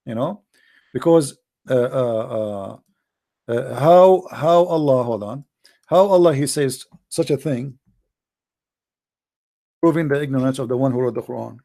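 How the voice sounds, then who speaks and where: A man's voice: medium pitch, measured pace, gravelly, pauses often, mellow. A middle-aged man whose first language is Arabic, hosting a call-in debate, insistent and lecturing, often repeating himself. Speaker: host.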